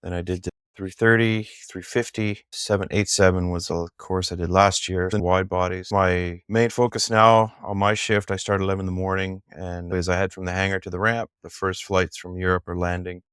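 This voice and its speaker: A man's voice: sits low, little pitch movement, normal speed, few pauses, a bit bright, smooth, no echo, studio quality. A middle-aged man, a podcast guest, chatting casually and telling rambling, enthusiastic stories. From Canada. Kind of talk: casual chat.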